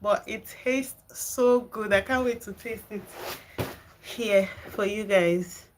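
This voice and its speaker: Nigerian lady on a garden livestream, slow easy chat with viewers, mid pitch. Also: slight background noise. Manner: chatty, answers viewer comments, drawn-out slow delivery. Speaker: gardening channel host. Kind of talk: casual live-stream chat with viewers. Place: home garden and kitchen.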